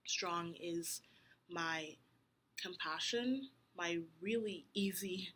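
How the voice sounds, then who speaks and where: Young woman w doing a self-recorded interview at home, bright tone, thinks out loud. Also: fairly low pitch, a little hiss or hum. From Nigeria, raised in Cape Town, South Africa. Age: young adult. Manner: earnest, reflective, with fillers and restarts. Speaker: university applicant. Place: home room with a roommate passing.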